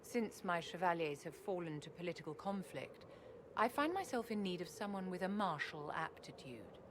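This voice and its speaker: A refined noblewoman with a very low, composed voice and courtly, formal speech. A clear hiss sits beneath the game recording. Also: big pitch swings, moderate pace. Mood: gracious. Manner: gracious, formal, calls people "my dear". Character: refined noblewoman seeking a wyvern's heart. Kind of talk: video game voice acting.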